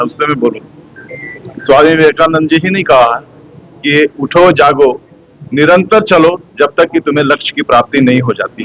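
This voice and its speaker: A man's voice: on the high side, fairly bright tone, a little hiss or hum, rough recording. A man giving a rousing message at a community event, reciting slogans. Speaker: event speaker. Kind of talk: motivational speech to press.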